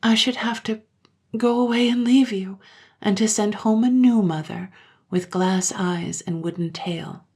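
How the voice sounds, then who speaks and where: A woman voicing a gentle, sorrowful young mother who speaks softly to her children. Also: mid-range voice, unhurried, slightly rough, voice pushed up. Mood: tender. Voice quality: soft, tender.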